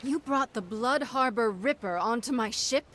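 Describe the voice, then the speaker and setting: Pirate captain for a game, female actor: hard-edged, dry wit, threatening authority, fairly high register. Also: moderate pace, fairly bright tone, slight background noise, lightly acted. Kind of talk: video game cutscene acting.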